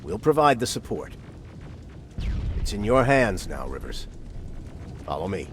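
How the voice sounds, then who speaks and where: A grave, authoritative resistance commander in a war video game. His low voice moves expressively as he speaks with deliberate, earnest resolve. There is faint outdoor noise behind him. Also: big pitch swings. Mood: commanding. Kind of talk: dramatic cutscene dialogue.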